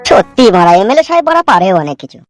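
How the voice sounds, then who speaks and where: A man's voice: high-pitched, sing-song, fast talker, soft background music. A man voicing a crude, gossipy sidekick of a village politician in a cartoon. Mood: gossiping, envious. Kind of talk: comic cartoon dialogue.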